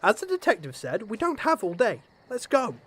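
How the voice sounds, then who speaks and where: Man playing a pompous, high-swooping excitable knight. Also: normal speed, breathy edge, exaggerated voice. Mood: firm, resigned. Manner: blustering, easily exasperated. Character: pompous, excitable, self-absorbed knight, bossy with his companion. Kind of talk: comedic fantasy audio drama acting.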